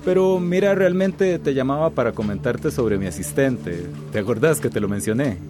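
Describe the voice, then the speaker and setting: A man acting a scornful, domineering company boss for a radio drama. His mid-pitched voice swoops widely between false bonhomie and bluster, with music clearly behind him. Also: lively intonation, moderate pace, lightly acted. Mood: falsely jovial. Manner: blustering, two-faced, domineering.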